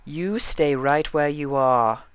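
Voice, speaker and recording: A man's voice: fairly high pitch, slow pace, fairly bright tone, smooth, no echo, voice pushed up. A man voicing a prim, fussy, bossy female pony dressmaker with affected, refined diction in a storybook reading. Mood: stern.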